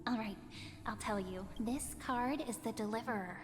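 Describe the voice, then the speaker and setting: A woman's voice: fairly high pitch, moderate pace, lightly acted. A woman voicing a playful, teasing young village girl who reads fortunes in a video game. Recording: reverberant game audio under music.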